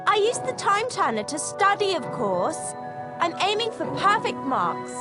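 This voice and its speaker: A woman's voice: very high-pitched, sing-song, unhurried, music in the background, full character voice. A woman playing a clever, earnest, bossy teenage witch with a British accent and an emphatic know-it-all manner in a video game. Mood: smug, chiding.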